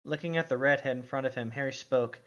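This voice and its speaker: A man's voice: mid-range voice, fast talker, a bit bright. A man co-hosting a fan fiction read-aloud, moving between casual, mildly sweary chat and plain reading. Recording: dull lo-fi voice recording.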